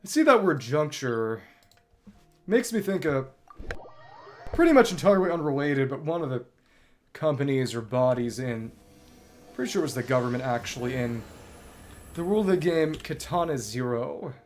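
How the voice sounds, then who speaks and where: A man's voice: mid-range voice, expressive, normal speed, long pauses, faint music behind. A man livestreaming a video game, giving casual, bookish commentary that wanders into long tangents. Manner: bookish, discursive, digressive.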